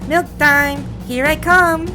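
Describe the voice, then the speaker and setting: Woman playing a lazy, high-voiced young giant shepherd. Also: steady tone, slow pace. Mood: carefree, playful. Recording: music behind the voice.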